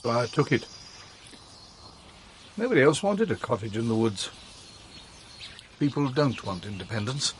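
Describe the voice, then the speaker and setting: A man's voice: mid-range voice, unhurried, pauses often, lightly acted. Middle-aged male lead played by an actor: worldly, fond, independent, swooping intonation, radio drama with slight background noise. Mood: musing. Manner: courtly, expressive. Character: older lover, worldly independent smuggler, courtly and fond.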